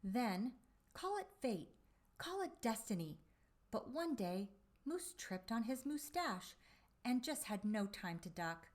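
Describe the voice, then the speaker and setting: A woman reading a storybook aloud for young children, with deliberate, dramatic phrasing and drawn-out emphasis on key words. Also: fairly high pitch, very animated. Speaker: children's storybook reader and presenter.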